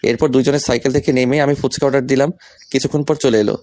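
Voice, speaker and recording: A man's voice: mid-range voice, fairly even intonation, quick pace, a little mellow. A young man reading a scripted first-person romantic story, voicing the male lead's lines as well. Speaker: story narrator and male lead.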